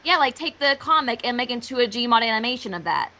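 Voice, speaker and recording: A woman's voice: fairly high pitch, animated, quick pace, sharp tone. A woman chatting casually on an online voice call in an eager, tentative way. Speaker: amateur voice actor, livestream guest. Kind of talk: casual chat.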